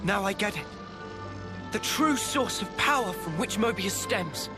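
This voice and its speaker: High-voiced, earnest young hero. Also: male voice, expressive, unhurried. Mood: resolute. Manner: fervent, determined. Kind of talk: dramatic video game cutscene acting. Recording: loud music over dialogue.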